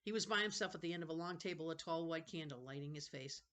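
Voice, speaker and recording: A woman's voice: deep voice, quick pace, a bit bright. An older woman reads a novel for school-age children. Her storytelling slips easily into informal, rambling asides, where she stops and corrects herself as she goes. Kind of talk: read-aloud storytelling with chatty asides.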